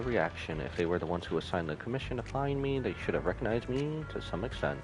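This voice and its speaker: A man streaming a game, reading dialogue aloud in a casual, halting, low-key way. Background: music.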